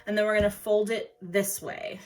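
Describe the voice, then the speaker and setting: A woman's voice: medium pitch, little pitch movement, normal speed. A woman art teacher talking children through a craft step by step in an informal way. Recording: noticeable background noise.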